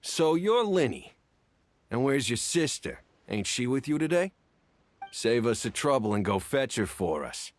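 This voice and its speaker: An actor playing a menacing, impatient street thug in a video game, taunting in gruff slang. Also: male voice, mid-range voice, big pitch swings, a little slow. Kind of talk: English video game voice acting.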